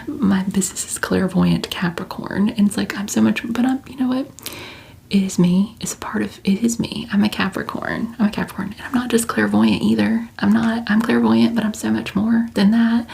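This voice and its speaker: A woman's voice: medium pitch, steady tone, normal speed, gravelly, a little mellow, a little hiss or hum. A woman whispering through a chatty ASMR question-and-answer video, full of "like" and "um" fillers. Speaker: ASMR creator.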